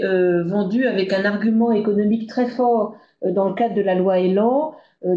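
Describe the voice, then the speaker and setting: A woman giving a semi-improvised expert presentation on a web conference, halting often with drawn-out hesitations. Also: mid-range voice, dark tone. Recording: dull, echoey web conference audio.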